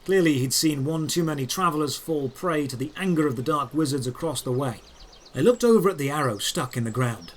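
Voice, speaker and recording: Higher-voiced man narrating a fantasy adventure. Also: lively intonation, fairly quick. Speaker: narrator of a fantasy audio drama series. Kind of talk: scripted first-person adventure storytelling.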